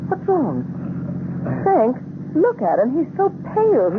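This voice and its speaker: An actress playing a haughty, self-absorbed middle-aged society wife in a theatrical radio drama style. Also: female voice, high-pitched, huge pitch swings, slow, exaggerated voice. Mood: alarmed. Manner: theatrical, imperious, anxious. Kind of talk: 1970s radio drama acting. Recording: noisy, narrow-band old radio broadcast.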